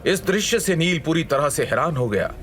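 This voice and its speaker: A man's voice: on the high side, animated, normal speed, a bit bright, a little hiss or hum. A man narrating a scripted dramatic story as a storyteller, in a suspenseful manner.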